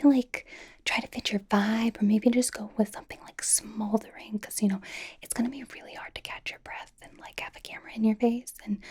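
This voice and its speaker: ASMR roleplay: young female K-pop idol acted by a woman, American accent, whispery and slightly high, bubbly, anxious, chatty and reassuring. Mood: rambling, sheepish. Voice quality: breathy, soft whisper. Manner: chatty, rambling, hesitant, reassuring. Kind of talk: ASMR personal attention roleplay.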